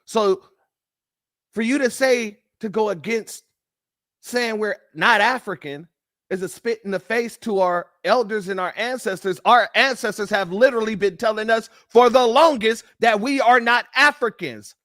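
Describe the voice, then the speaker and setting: A man's voice: high voice, normal speed, fairly bright tone, dry recording, studio quality. A man hosting a livestream, delivering fiery, emphatic and profane preaching-style commentary and thanking donors by name. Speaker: livestream host.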